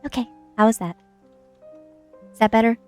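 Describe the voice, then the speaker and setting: A woman's voice: fairly high pitch, normal speed, darkish tone. A woman streamer who does ASMR readings of books, speaking over music. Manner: speaks in a different register than usual while reading. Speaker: streamer reading a novel aloud. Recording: music playing behind the voice.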